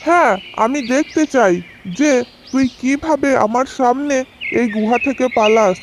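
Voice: sits high, moderate pace, background noise, natural voice. A performer voicing a scornful, gullible fox uncle in a cartoon animal fable.

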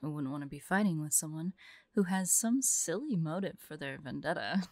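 A woman playing a forlorn, vulnerable young huntress in an intimate audio roleplay, speaking softly and haltingly, often drifting into whispers. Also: sits low, expressive, no echo. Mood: gentle, earnest. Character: forlorn young woman hunter, tearful, vulnerable, guarded, affectionate.

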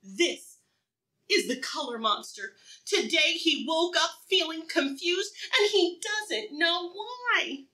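A woman preschool teacher doing an interactive read-aloud for young children, asking questions in an encouraging, sing-song way and pausing for answers. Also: very high-pitched, animated, sharp tone.

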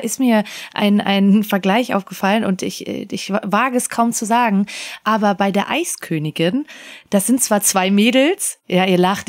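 A woman co-hosting a podcast, chatting casually in long, run-on sentences. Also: mid-range voice. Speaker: podcast co-host. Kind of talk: casual panel chat.

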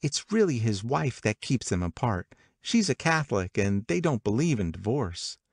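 A man voicing a gossipy, worldly young woman in an audiobook, confiding and excitable in manner. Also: mid-range voice, normal speed, breathy edge, no room sound, clean studio sound, voice pushed up. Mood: animated gossip. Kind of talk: audiobook character dialogue.

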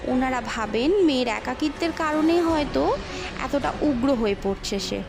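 A woman narrating a scripted ghost story in an expressive, dramatic storytelling manner. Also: high voice, moderate pace, creaky, over music.

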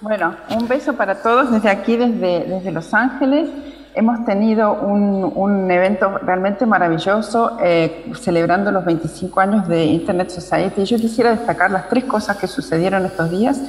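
Female guest speaker, Argentine, mid-pitched, video call with long reverb. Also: normal speed. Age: middle-aged. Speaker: guest speaker, chapter president. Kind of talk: informal remote speech. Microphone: video call with earphones. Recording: echoey video call played in hall.

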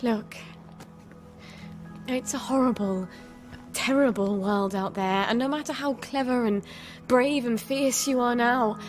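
An actress with an English accent playing an anxious, sharp-tongued, protective young mother in a video game drama. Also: fairly high pitch, measured pace, faint music behind, close to an everyday voice. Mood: urgent, bitter.

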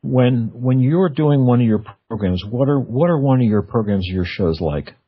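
A man's voice: medium pitch, animated, normal speed, breathy edge, no room sound. A middle-aged man hosting a radio interview in a chatty, informal, conversational way. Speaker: radio show host. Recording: dull, band-limited radio audio.

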